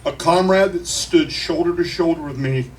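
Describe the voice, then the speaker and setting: A grieving man delivers an emotional eulogy to a crowd, speaking deliberately, with profanity and wry humor. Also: on the high side. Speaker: eulogist at a memorial. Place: crowded bar at a memorial. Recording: noisy live room, distant mic.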